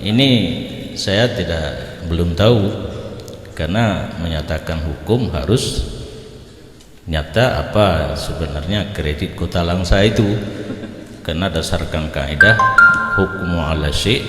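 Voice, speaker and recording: An older Islamic teacher with an Acehnese accent speaks in a very low, unhurried voice. He explains rulings informally, in the manner of a lecture answering questions. The sound rings with the long echo of a hall. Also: fairly bright tone, soft background music. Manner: informal, explanatory, switches between languages. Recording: echoey hall with long reverb.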